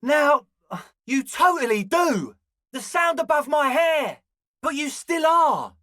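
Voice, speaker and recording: A male actor playing a young, excitable but laid-back club organiser in a video game, talking in earnest, slangy dude speak. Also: extremely high pitch, slow, no echo, clean studio sound, exaggerated voice. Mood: chill, excitable. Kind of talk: video game character dialogue.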